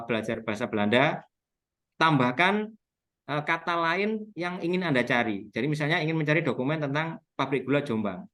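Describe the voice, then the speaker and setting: A man's voice: mid-range voice, a bit bright. A man with a Javanese Indonesian accent giving an informal explanatory webinar talk, going step by step and spelling out terms as a speaker on local history.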